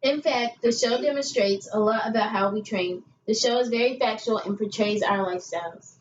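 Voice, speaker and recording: A woman's voice: fairly high pitch, normal speed, lightly acted. A young woman playing an earnest investigator guest in a scripted amateur interview. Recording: echoey, muffled room with hiss.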